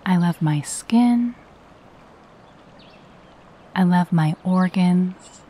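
A woman leads a guided meditation in a mellow, slightly low voice. Her tone is soft and husky, with plenty of breath in it. She speaks soothingly and takes her time over each phrase. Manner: gentle, soothing, unhurried delivery. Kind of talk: guided meditation with spoken affirmations.

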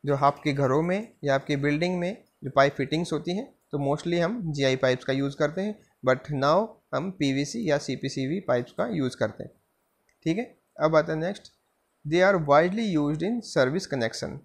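A man giving a civil engineering slide lecture. He reads each line slowly, then explains it in Hindi, with frequent "theek hai" fillers. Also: a little high, creaky. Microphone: voice-over on screen-recorded slides.

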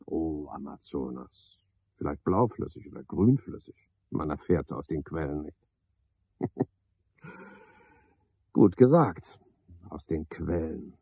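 Husky, pompous, sardonic porter man. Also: deep voice, no room sound, highs cut off, heavily acted. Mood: musing, weary. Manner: rambling, pompous monologue with mocking asides. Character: garrulous, pompous, sardonic male porter at a boarding house. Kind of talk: 1970s German radio play acting.

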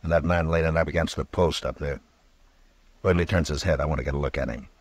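A resolute American lawman of the Old West, in a very low, dry voice. He talks plainly and firmly, in the measured style of a classic radio western. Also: steady tone, slightly rough, dry recording. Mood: calm, wary. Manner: measured, firm, plain-spoken. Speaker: radio actor.

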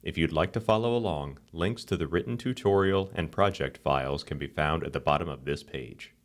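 A man with a very deep voice narrates a software screencast. He reads a script in a calm, even, instructional way, walking through each step without hurry. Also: fairly quick.

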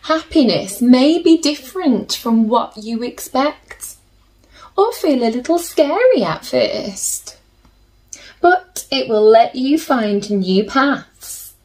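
Slow-paced woman reading to children. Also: sits high, animated, a little mellow, low-quality recording. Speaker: children's story reader. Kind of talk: picture-book read-aloud with chatty asides to children. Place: small plain room indoors.

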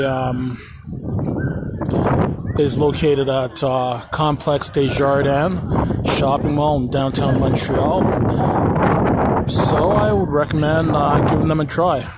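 A man giving a casual food review vlog while walking through a city plaza, talking slowly with many pauses and fillers, recorded on a handheld phone. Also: medium pitch. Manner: slow, halting, with many ums and uhs. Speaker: vlogger. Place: outdoor pedestrian plaza downtown. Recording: outdoor street noise behind.

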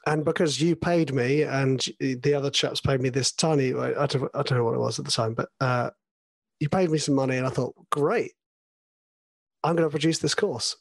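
A man hosting a podcast, hoarse from a cold, talking casually with rambling asides. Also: mid-range voice, steady tone, normal speed, dry recording, studio quality. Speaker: podcast host.

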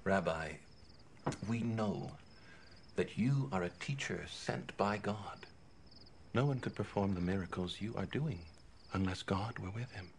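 An actor playing a puzzled, respectful religious leader, questioning slowly in a biblical film drama. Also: male voice, lowish voice, background noise.

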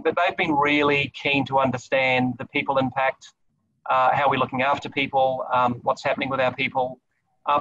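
A middle-aged executive speaks slowly in a flat, even tone, with many hesitations. His video-call sound is dry and muffled, with no room echo. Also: mid-range voice, recorded from a distance. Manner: slow, hesitant, many ums and uhs. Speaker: business executive panelist. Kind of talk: webinar panel answer.

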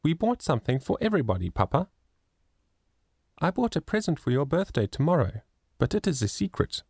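A man reading a storybook, voicing an eager, chattering little girl who pleads and bubbles with excitement. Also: on the high side, fairly quick, slightly rough, dry recording, studio quality, voice pushed up, full character voice. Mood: eager pleading.

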